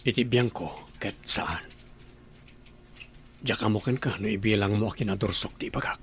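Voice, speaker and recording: A man's voice: lowish voice, moderate pace, fairly bright tone, slight background noise. An actor playing an earnest male boss courting his employee in a Filipino radio drama. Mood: earnest wooing.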